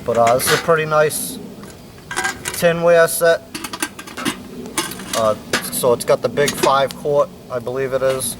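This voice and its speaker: A relaxed American man talks his way through an outdoor cooking demo in an unhurried, rambling manner full of fillers. He stands a little away from the microphone, and faint outdoor noise sits under his voice. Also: mid-range voice, distant mic. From United States. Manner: laid-back, rambling, many fillers. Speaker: bushcraft instructor. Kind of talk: casual outdoor cooking demonstration. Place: outdoors at a campfire.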